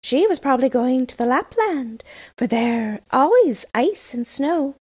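A woman reading a storybook, voicing a pair of chattering wood pigeons in a high, sing-song coo. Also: very animated, measured pace, a little mellow, slightly rough, no room sound, full character voice. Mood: urgent. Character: cooing wood pigeons, small and fluttery.

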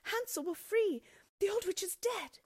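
A woman's voice: very high-pitched, very animated, moderate pace, dark tone, voice pushed up. A woman voicing a frightened young girl who pleads tearfully. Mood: pleading.

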